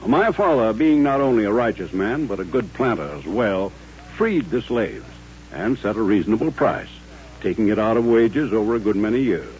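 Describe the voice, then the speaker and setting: A man acting in an old-time radio drama as a courtly, dignified colonel of mature years, with formal, measured speech. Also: fairly low pitch, expressive, a little mellow, natural voice. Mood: grave, dignified. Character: courtly, dignified older Southern colonel turned rancher. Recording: muffled old radio recording with hum.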